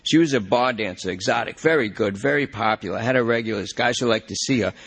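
A man's voice: on the low side, fast talker, a bit bright, breathy edge. A man voicing a jittery, rambling male junkie under arrest, whiny and pleading.

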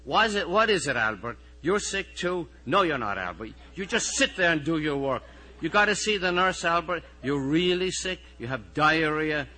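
An Irish man voicing a harried, exasperated high school teacher in a storytelling reading, loud and pleading with his pupils. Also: lively intonation, moderate pace, rough recording. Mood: weary, sarcastic. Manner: loud, pleading, repetitive questioning.

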